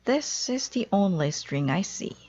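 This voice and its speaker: A woman voicing an eager, innocent, literal-minded woman from a children's storybook, in a bright, exclamatory way. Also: mid-range voice, big pitch swings, measured pace, lo-fi sound, voice pushed up. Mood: pleased, busy.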